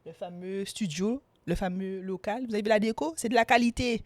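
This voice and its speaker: A woman hosting a podcast interview, chatty and lively, asking friendly questions. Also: medium pitch, fairly quick, no room sound. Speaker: podcast host.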